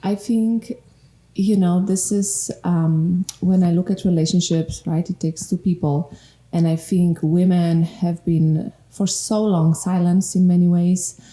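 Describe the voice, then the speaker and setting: A woman in her forties from Poland, a relationship coach speaking as a guest in a casual podcast chat, earnest and quick to laugh. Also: on the low side, fairly even intonation. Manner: warm, earnest, laughs often. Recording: echoey room.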